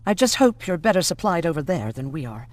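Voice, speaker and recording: An actress playing an earnest, resolute young resistance volunteer in a video game. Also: female voice, sits low, sing-song, brisk, darkish tone. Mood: earnest, concerned. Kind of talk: dramatic video game acting.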